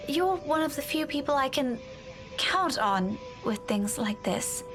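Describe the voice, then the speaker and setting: A woman playing an earnest, dutiful young woman in a visual novel game, conversational and gently coaxing. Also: high-pitched, animated, a little slow. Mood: earnest, persuading. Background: game music.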